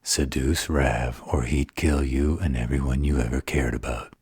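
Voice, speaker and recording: A man playing a sardonic, flirtatious vampire interrogator in an audio drama, with a slow, sarcastic delivery. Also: fairly even intonation, darkish tone, no echo, studio quality, full character voice. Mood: sardonic, probing. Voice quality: very deep, gravelly, husky. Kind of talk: dramatic audio roleplay acting.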